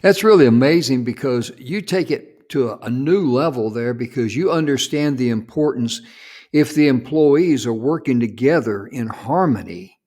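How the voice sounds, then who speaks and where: A man's voice: medium pitch, animated, moderate pace, darkish tone. A man hosting an interview, introducing his guest and responding with friendly, affirming remarks. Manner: friendly, affirming, many fillers. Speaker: host. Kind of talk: interview conversation.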